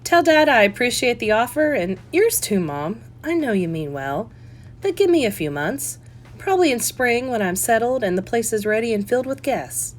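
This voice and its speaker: A woman's voice: fairly high pitch, very animated, normal speed. A woman reading a story, voicing an independent grown daughter who runs an inn and speaks firmly and earnestly. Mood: conciliatory. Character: grown daughter, independent, exasperated yet polite innkeeper.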